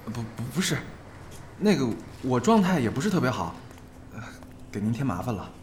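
Man acting polite, hesitant younger actor, medium pitch. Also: sing-song, normal speed, slight background noise, natural voice. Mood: shy, polite. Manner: hesitant, modest, stammering. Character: polite, deferential, shy younger male actor. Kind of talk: Chinese audio drama acting.

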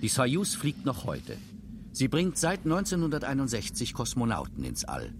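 A man's voice: mid-range voice, wildly swooping pitch, moderate pace. A man reading scripted narration for a radio documentary. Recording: clear background ambience noise.

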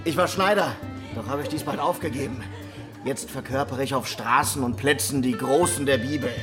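An actor playing a flamboyant, roguish pimp and street performer in a German radio drama, grand, theatrical and amused. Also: male voice, medium pitch, normal speed, lightly acted. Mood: boastful, amused. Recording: music under the voice. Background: music.

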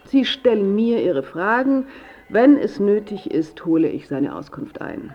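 Firm, husky middle-aged woman. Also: mid-range voice, sing-song, muffled recording. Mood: brisk, controlling. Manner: brisk, firm, formal. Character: controlling, businesslike middle-aged daughter guarding her father. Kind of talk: radio drama acting.

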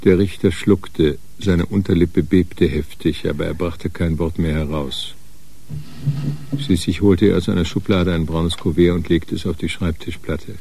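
Grim sober narration for a crime story, really deep dark voice. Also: male voice, fairly even intonation, a little slow, gravelly, a little hiss or hum. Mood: grave. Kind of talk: scripted narration.

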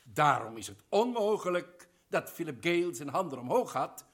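An actor playing a commanding colonel who lays out an accusation forcefully and deliberately. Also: male voice, on the high side, sing-song, slightly rough, full character voice. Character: commanding male colonel investigator. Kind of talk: old radio drama acting.

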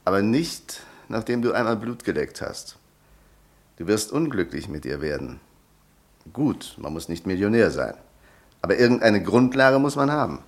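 An actor playing a gruff, wealthy friend who is blunt but generous, speaking briskly. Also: male voice, lowish voice, sing-song.

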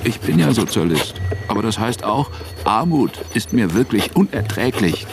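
An actor playing a broke, wily socialist on the run in a German radio drama, in fragmentary, dramatic phrases. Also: male voice, on the low side, very animated, normal speed, creaky, over music. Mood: pleading, urgent. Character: desperate, broke male socialist fugitive, pleading and wily.

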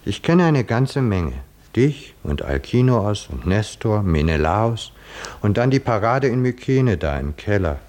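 Mocking tavern philosopher in a 50s radio play, low voice, swings up and down a lot. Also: male voice, sing-song, darkish tone. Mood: ironic, musing. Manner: sardonic, deliberate, pronouncing. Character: short-sighted, mocking male tavern drinker who philosophizes about kings. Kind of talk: 1950s German radio drama dialogue.

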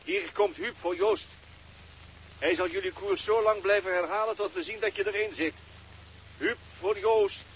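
A man's voice: sits high, steady tone, moderate pace, a little hiss or hum, lightly acted, poor audio. An actor playing a crewman calling stranded astronauts from the ship, repeating his announcements, in a 1960s radio drama. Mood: brisk, calling.

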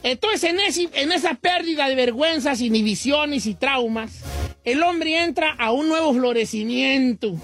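A man puts on a folksy older Mexican radio host with a very high-pitched voice. The talk is slangy and cheeky, delivered like a mock lecture in a Mexican accent, with music playing behind. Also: normal speed, a bit bright. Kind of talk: comedic radio talk with callers and co-hosts.

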